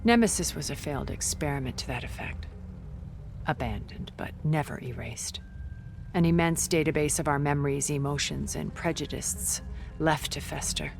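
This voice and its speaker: Low, gravelly, world-weary immortal. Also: female voice, normal speed. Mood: cool, confessional. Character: cool, world-weary immortal female colonist, worldly and condescending. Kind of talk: video game cutscene acting. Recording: background music.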